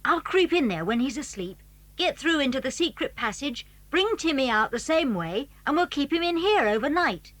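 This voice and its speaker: A plucky British schoolboy adventurer in a children's audio drama. The voice is high, bright and animated, always scheming, recorded close and dry. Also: female voice, normal speed, no room sound. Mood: plotting, determined.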